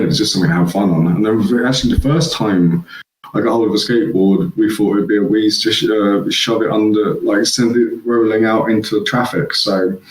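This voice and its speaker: A man's voice: on the low side, reverberant, poor audio. A man from England, a guest, chatting casually and rambling through his childhood stories. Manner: rambling, laughs at own stories. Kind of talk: casual chat.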